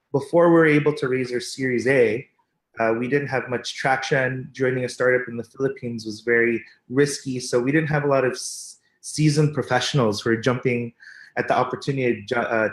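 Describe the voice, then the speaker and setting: A man at a mid-range pitch speaks thoughtfully, stopping often on "uh" as he picks his words. His voice rings in a noticeably roomy, echoey space, as on a podcast panel. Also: steady tone. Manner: thoughtful, hesitant, with many filler pauses. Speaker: startup executive panelist. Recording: roomy echo.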